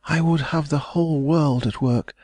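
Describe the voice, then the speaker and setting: A man's voice: on the high side, moderate pace, warm tone, slightly rough, no echo, pitched above the performer's own voice. An anxious, agitated young heiress, voiced by a man reading a novel aloud, pleading in a raised, breathless voice. The sound is close and dry.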